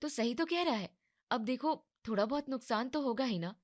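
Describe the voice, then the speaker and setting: Woman as a high-voiced, practical young wife. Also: lively intonation, a little fast, lightly acted. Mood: encouraging. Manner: matter-of-fact, coaxing. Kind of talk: Hindi animated moral story dialogue.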